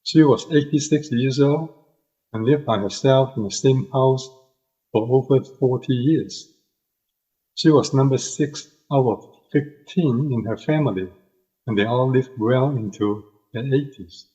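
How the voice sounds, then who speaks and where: An older man with a Hong Kong accent speaks as a podcast guest in a husky, mid-pitched voice. He gives a personal testimony, and the audio is somewhat dull and lo-fi. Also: measured pace, warm tone.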